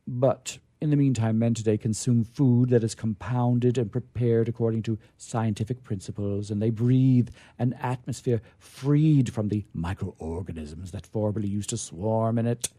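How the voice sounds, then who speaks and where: A man giving a dramatic reading of vintage science fiction, theatrical and emphatic, with drawn-out phrases and pauses. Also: medium pitch, dark tone, breathy edge. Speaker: reader performing a literary reading.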